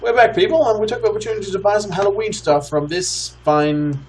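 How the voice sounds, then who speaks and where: A man hosting a gaming let's play, chatting casually to his audience and reading game text aloud in an animated way. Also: sits high, fast, slight background noise.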